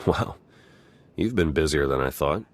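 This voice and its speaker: An actor playing a wry, easygoing spy and hacker in a video game, talking in a casual, dry way. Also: male voice, very deep voice, expressive, a little slow, full character voice. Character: wry, easygoing male spy and slicer ally.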